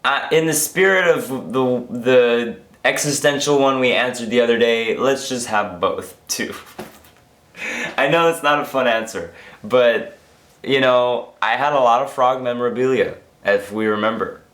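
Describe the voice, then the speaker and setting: Hoarse, tired young man, echoey room. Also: medium pitch, unhurried, a bit bright. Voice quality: rambling, self-interrupting. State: hoarse, losing his voice from illness; tired after a long work shift. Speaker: vlogger. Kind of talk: casual daily vlog.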